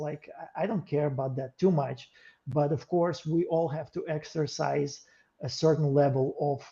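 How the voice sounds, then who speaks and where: A man from Western Ukraine chats casually on a stream, searching for words and restarting often. His voice is very dark and mellow, pitched a little high. The audio sounds dull and lo-fi. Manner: hesitant, halting, many fillers and restarts. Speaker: co-host. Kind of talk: casual livestream chat.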